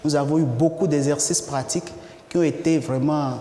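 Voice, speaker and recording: A man from Benin gives a student testimonial in a fairly high, soft-edged mellow voice. It comes through with long hall reverb and a little background noise. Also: steady tone, normal speed. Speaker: international student. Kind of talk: testimonial interview. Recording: film replayed in echoey, noisy hall.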